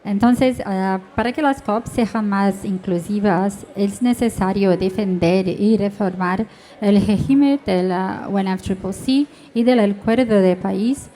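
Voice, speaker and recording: A woman with a Brazilian Portuguese accent, a native Portuguese speaker, carefully and haltingly reading prepared panel remarks in a second language. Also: mid-range voice, mellow, slight background noise.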